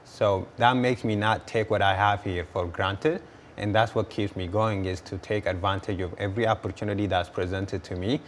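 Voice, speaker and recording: A man's voice: lowish voice, fairly even intonation, normal speed, a little hiss or hum. A young man, answering interview questions earnestly and often repeating words as he restarts. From Ethiopia. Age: 20s. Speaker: guest entrepreneur.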